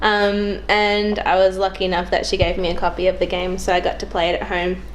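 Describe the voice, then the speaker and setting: A woman's voice: on the low side, completely flat delivery, a little fast. Young woman chatting, noisy recording. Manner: informal, hesitant, thinking aloud. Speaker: podcast guest. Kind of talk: casual chat.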